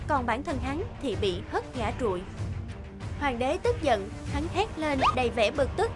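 A woman's voice: high-pitched, very animated, a little slow. A woman narrator reading a scripted fairy tale as a storyteller. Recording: music behind the voice.

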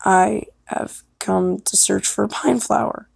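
A woman voicing a bold, earnest warrior cat for an amateur cartoon audition, speaking deliberately. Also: medium pitch, fairly even intonation, creaky, a little mellow, husky, no echo, heavily acted. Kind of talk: amateur fan animation audition lines.

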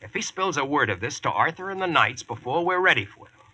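Brash modern Yankee, American accent, slightly high, piercing voice, fast-talking slang, faintly noisy vintage radio recording. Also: male voice, sing-song, bright, forceful tone, heavily acted. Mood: brisk, impatient. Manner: slangy, brisk, cocksure. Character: brash wisecracking modern Yankee man bossing King Arthur's court. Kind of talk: 1940s radio acting and first-person narration.

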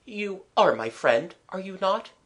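A woman voicing an earnest, quietly lovestruck gentleman in a storybook, courteous and heartfelt. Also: medium pitch, big pitch swings, measured pace, voice pushed up. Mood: stunned, tender.

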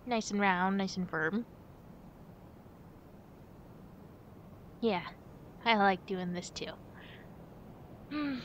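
A young woman playing a playful, affectionate girlfriend in a chatty ASMR roleplay, with gentle hesitant pauses. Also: highs cut off. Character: playful, affectionate girlfriend on a pumpkin-picking outing. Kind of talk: ASMR girlfriend roleplay, casual one-sided chat. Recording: rumbly background noise.

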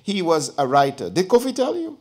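A man's voice: high voice, wildly swooping pitch, brisk, a little mellow, pitched above the performer's own voice, exaggerated voice. A man reading an audiobook, voicing an elderly aunt who teases and gossips with theatrical flair. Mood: reminiscing. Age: older. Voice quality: teasing, theatrical, lapsing into dialect.

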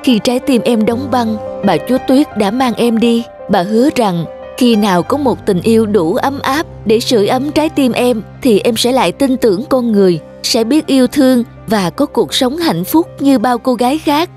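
A woman voicing a gentle young snow maiden with a tender, solemn manner in a fairy-tale cartoon. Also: on the high side, expressive, normal speed, close to an everyday voice. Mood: sorrowful. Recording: music behind the voice.

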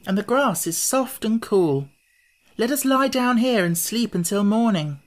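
A woman voicing a good-natured, sensible young boy in a storybook, brisk and soothing. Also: mid-range voice, voice pushed up, close to an everyday voice. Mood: plain. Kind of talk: audiobook character voice.

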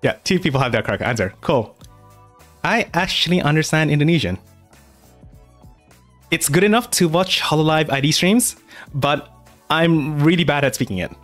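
A man's voice: fairly high pitch, lively intonation, normal speed, pauses often, fairly bright tone, faint music behind. A man hosting a live question-and-answer stream, talking casually with many fillers and restarts. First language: English. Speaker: art channel host.

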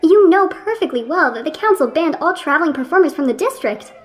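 A pompous, irritable man acted by a woman in a radio play. The voice sits high and rises and falls sharply. The delivery is condescending and indignant, scolding a friend with fussy precision. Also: animated, brisk, a faint crowd. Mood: exasperated.

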